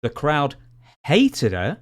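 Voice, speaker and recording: A man's voice: a little high, huge pitch swings, slow pace. A man co-hosting a podcast, discussing sports casually and building his points emphatically, step by step. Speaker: podcast co-host.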